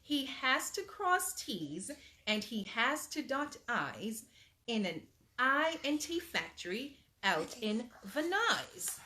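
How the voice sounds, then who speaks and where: A woman reading a children's bedtime story aloud in an expressive, emphatic storybook manner, with asides to young listeners. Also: fairly high pitch, slow pace.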